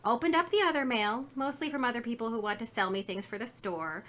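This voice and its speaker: Woman playing garrulous lady shopkeeper, folksy, emphatic, fairly high sharp voice, vintage radio drama. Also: quick pace, lightly acted. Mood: chatty. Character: chatty, indignant, folksy female shopkeeper. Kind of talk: 1950s radio drama acting.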